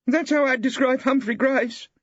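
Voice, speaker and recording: Squawking, high-pitched gossipy male don. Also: steady tone, normal speed, dry recording, muffled recording. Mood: spiteful, positive. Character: excitable gossipy spiteful male academic. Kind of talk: audiobook character acting.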